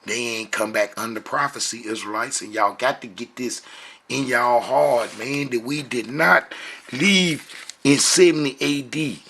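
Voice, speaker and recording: A man's voice: mid-range voice, measured pace, a bit bright, husky. A man giving impromptu religious commentary, preaching emphatically and repeating phrases for stress. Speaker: online religious teacher.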